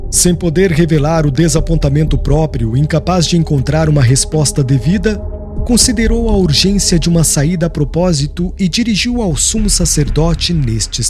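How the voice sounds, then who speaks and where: A man's voice: a little high, darkish tone. A man reading a solemn, dramatic audiobook narration.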